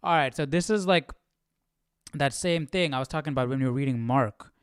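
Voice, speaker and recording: A man's voice: mid-range voice, a little fast, clean tone, no echo, studio quality. A man hosting a live Bible study stream, chatting casually and jokingly as he thinks aloud. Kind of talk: casual chat, reacting to a reading.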